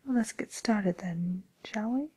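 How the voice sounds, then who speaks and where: A woman playing a polite, attentive receptionist at a fairy-tale dating agency in a soft, breathy, hesitant whisper, as an ASMR roleplay. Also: medium pitch, a little slow.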